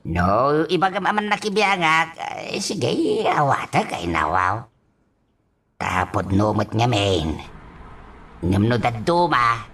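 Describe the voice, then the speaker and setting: A man's voice: mid-range voice, big pitch swings, a bit bright, full character voice. Swooping, fretful old Ilocano father. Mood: apologetic, pleading. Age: older. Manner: halting, stammering, full of exclamations and a repeated catchphrase. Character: fretful, fumbling old village father with a repeated verbal tic. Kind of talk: Ilocano radio drama acting.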